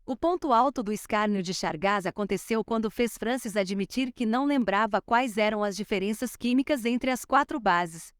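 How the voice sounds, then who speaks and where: A woman with a Brazilian Portuguese accent reading an audiobook in a steady, measured way. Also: medium pitch, no echo, clean studio sound. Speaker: audiobook narrator.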